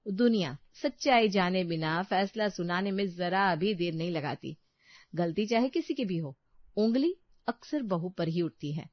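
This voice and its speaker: A woman's voice: on the low side, lively intonation, unhurried, dry recording, highs cut off. A woman narrator reading a scripted family story as a storyteller.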